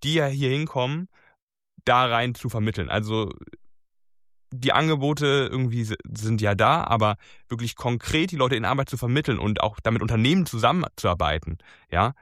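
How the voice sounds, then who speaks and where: A man's voice: mid-range voice, animated, moderate pace, a bit bright, no echo, studio quality. A man hosting a casual conversation, talking hesitantly and searching for his words. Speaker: host.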